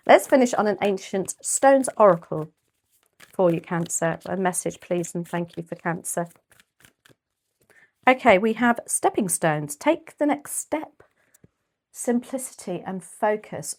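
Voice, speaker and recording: A woman with a British accent giving a casual tarot reading, chatty and rambling, her voice a little croaky and often clearing her throat. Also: medium pitch, expressive, moderate pace, darkish tone. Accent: British English. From United Kingdom. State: slightly croaky voice. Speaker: tarot reader.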